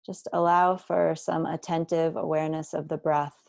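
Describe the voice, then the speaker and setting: A woman guiding a body scan meditation, speaking slowly and deliberately with gentle instructions and long pauses. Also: low voice, flat delivery, gravelly, no room sound. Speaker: meditation teacher.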